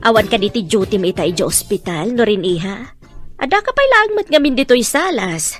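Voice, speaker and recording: A woman's voice: medium pitch, big pitch swings, a little fast, a bit bright, soft background music. A woman playing a caring, nudging middle-aged mother in an Ilocano radio-style drama, with a coaxing manner and an Ilocano Filipino accent.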